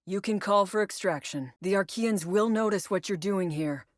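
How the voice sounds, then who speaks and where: A woman playing a composed female operations agent in a video game, briefing a squad in a measured, matter-of-fact way. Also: medium pitch, dry recording, studio quality, natural voice. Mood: focused, instructive. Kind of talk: scripted video game voice lines.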